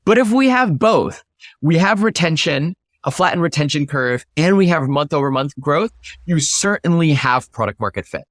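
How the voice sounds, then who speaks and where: A man's voice: on the high side, lively intonation, moderate pace, a bit bright, no room sound, studio quality. A man giving structured, explanatory answers as an interview guest, stressing his key points. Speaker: interview guest, product leader.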